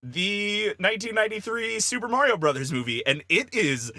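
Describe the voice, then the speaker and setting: A man's voice: high-pitched, expressive, moderate pace, pushed and bright, no echo. A man chatting casually as a podcast panelist, with a playfully hyperbolic, emphatic way of talking. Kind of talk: casual comedic panel chat.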